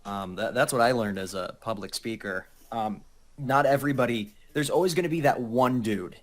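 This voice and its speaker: A man chatting casually in a halting, empathetic manner. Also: mid-range voice. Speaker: public speaker. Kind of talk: casual chat.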